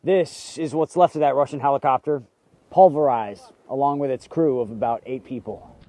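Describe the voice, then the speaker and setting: An American man working as a TV reporter, speaking emphatically and clearly on location outdoors. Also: mid-range voice, moderate pace, mellow. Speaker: TV news reporter. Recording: faint outdoor background noise.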